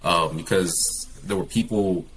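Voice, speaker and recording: A young man from California with a very low voice talks in a relaxed, halting way, full of ums and restarts. Faint noise sits behind him. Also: poor audio. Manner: hesitant, many fillers and restarts. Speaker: guest, English teacher. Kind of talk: casual interview talk.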